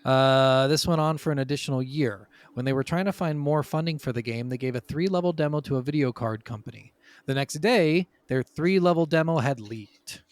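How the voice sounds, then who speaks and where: A man's voice: mid-range voice, a little fast, dry recording. A man hosting a gaming podcast, telling stories in an informal, conversational way and swearing freely. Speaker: podcast host. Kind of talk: casual podcast storytelling about video games.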